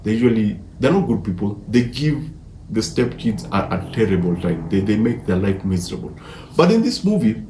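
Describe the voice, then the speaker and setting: A man's voice: on the low side, a little mellow. Man reviewing films, echoey room. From Africa. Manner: enthusiastic, emphatic, repeats words mid-sentence. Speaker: film reviewer. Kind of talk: casual movie review. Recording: echoey room, faint background noise.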